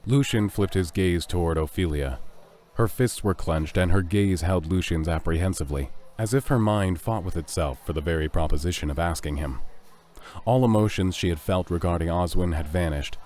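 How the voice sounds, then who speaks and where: A man with a deep voice serves as narrator of an audio drama. He reads scripted prose between the characters' exchanges. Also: moderate pace, a little mellow.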